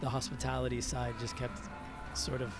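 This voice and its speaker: A man from Venezuela, a native Spanish speaker, telling his story as an interview guest in a reflective, halting, conversational way, repeating words as he searches. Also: medium pitch, little pitch movement, creaky. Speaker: interview guest, musician and hospitality entrepreneur. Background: music.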